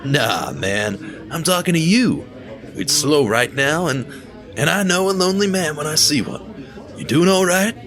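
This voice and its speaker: An actor playing a friendly, talkative young bartender with a laid-back manner, in an audio drama with music underneath. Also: male voice, fairly high pitch, very animated, a little slow, fairly bright tone, full character voice. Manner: laid-back, talkative, says bro a lot. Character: friendly chatty male bartender who calls everyone bro.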